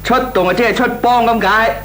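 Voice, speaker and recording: An actor playing a cocky, slangy young layabout nephew in a Cantonese radio comedy drama, scoffing and flippant, with a Hong Kong Cantonese accent. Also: male voice, very high voice, steady tone, fairly bright tone. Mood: boastful. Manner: scoffing, flippant, drawn-out theatrical delivery. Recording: noisy old radio recording with hum.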